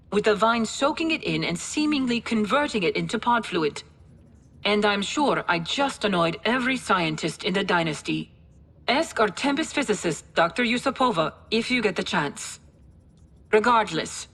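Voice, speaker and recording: Husky gravelly female adviser, dry, matter-of-fact, mid pitch. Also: fairly bright tone. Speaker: adviser briefing a general. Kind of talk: video game cutscene acting.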